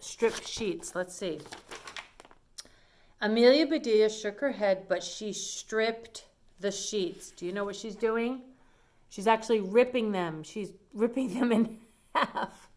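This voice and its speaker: Female children's storyteller, middle-pitched, reads aloud and explains simply to young listeners. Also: a little slow.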